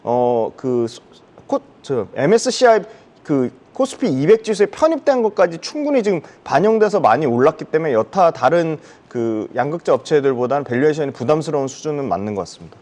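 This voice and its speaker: A man's voice: a little high, big pitch swings, brisk, darkish tone. A man giving explanatory, emphatic stock commentary as a guest analyst on a broadcast.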